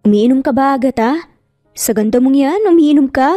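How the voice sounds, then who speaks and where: A woman acts out a cheerful young female office worker from an audio story. She is high-voiced and teasing, a chatty gossip with a soft-edged tone. Music plays underneath and the sound is roomy. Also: animated, moderate pace. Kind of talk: Tagalog audio story dialogue.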